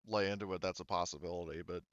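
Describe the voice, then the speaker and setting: Low smooth-voiced man running a game. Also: fairly even intonation, fast talker, a bit bright, dry recording, muffled recording. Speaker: game master. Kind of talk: running a tabletop roleplaying game, casual chat.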